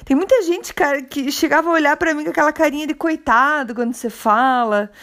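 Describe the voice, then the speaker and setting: A Brazilian woman with a high, light voice hosts a motivational podcast on her own. She talks in a loose conversational way, encouraging and reflective, as if speaking straight to one listener. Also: a little fast, fairly bright tone. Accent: Brazilian Portuguese. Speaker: podcast host.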